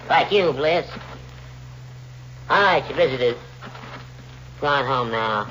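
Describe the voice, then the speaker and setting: A man's voice: medium pitch, expressive, takes it slowly, pushed and bright. A cranky old man in a vintage radio mystery, grumbling short, dismissive replies. The recording is muffled, with plenty of noise. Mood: grumpy. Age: older. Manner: grumbling, curt, repetitive "yeah". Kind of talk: 1940s radio acting.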